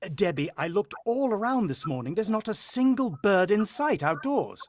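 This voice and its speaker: A man's voice: sits high, very animated, normal speed, dry recording, clean studio sound. An actor playing a proud, short-tempered, condescending husband who also narrates his ordeal in a radio drama. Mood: irritated, uneasy. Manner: condescending, irritable. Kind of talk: radio drama acting with first-person narration.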